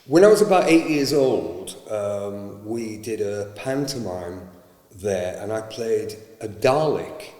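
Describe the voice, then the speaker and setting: A man's voice: on the low side, expressive, slow, warm tone. A man, an actor, telling a jokey childhood anecdote. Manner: jokey, interjecting. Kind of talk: reminiscing interview. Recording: echoey hall.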